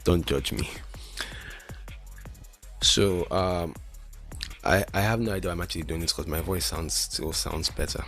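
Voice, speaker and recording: A man's voice: low voice, steady tone, a little slow, pauses often, a bit bright, soft background music. A man with a lisp who stutters and restarts words, rambling casually and self-deprecatingly as a streamer. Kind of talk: casual solo chat while testing mic settings.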